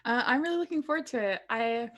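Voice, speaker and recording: A woman's voice: fairly high pitch, lively intonation, fast talker, a bit bright. A woman chatting casually as a player in a tabletop game, with a playful, wry manner. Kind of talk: casual chat.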